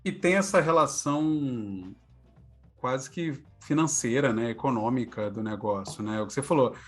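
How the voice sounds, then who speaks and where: A Brazilian man co-hosting a podcast, offering reflective, measured remarks in a casual chat with a Brazilian Portuguese accent. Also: medium pitch, soft background music. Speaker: podcast co-host.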